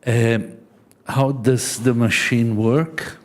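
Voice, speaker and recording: A man with an Italian accent giving a seminar presentation in halting English, often adding "no?" as he searches for words. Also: medium pitch, fairly even intonation. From Switzerland. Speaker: conference speaker.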